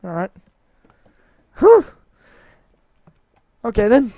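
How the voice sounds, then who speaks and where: Tired man in a dry booth, high voice, hesitant rambling tour of his build, pokes fun at himself. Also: huge pitch swings, extremely slow, warm tone, dry recording. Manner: rambling, hesitant, self-mocking. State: tired, voice wearing out. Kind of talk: casual rambling walkthrough commentary.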